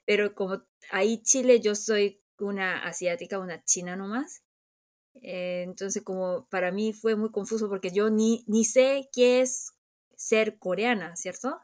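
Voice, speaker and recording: A woman's voice: mid-range voice, steady tone, unhurried, a little mellow. Woman, Korean accent, Korean native speaker, co-host, reflective, searches for words. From South Korea. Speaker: podcast co-host. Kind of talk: casual chat.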